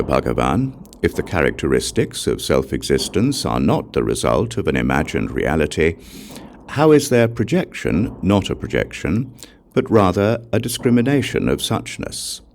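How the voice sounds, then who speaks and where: A man narrating a Buddhist scripture as an audiobook, reading in a measured, deliberate and formal way. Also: rumbling low, gravelly, darkish tone, slight background noise.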